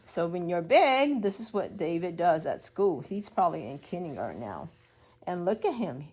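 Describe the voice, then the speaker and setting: A woman's voice: lowish voice, big pitch swings, normal speed, a little mellow, slightly rough. A woman preschool teacher reading a story aloud to young children, explaining as she goes and asking simple questions.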